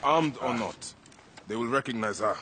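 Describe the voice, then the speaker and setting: A man's voice: medium pitch, lively intonation, moderate pace, bright, forceful tone, slight background noise. An actor playing a stoic male warrior in a video game, talking in a restrained, terse, dry way. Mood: wry, guarded. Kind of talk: dramatic video game acting.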